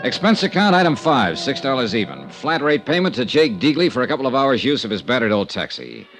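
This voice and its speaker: Wry hard-boiled detective, very lively delivery. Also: male voice, mid-range voice, a little fast, soft background music. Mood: wry narration. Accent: American. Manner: probing, dryly skeptical questioning. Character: hard-boiled, wry, persistent male insurance investigator. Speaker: lead detective and narrator. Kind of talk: old time radio detective drama acting.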